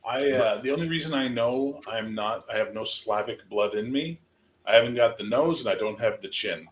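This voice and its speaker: A man's voice: mid-range voice, normal speed. A man hosting a livestream, rambling casually with lots of swearing and jokes. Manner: rambling, profane, jokey. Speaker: stream host. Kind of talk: casual chat.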